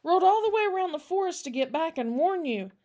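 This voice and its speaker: A woman's voice: on the high side, big pitch swings, moderate pace. An anxious storybook manservant, as a woman narrator plays him, loyal and fatherly. His words come out urgent and clipped, pushed with some force. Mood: shaken.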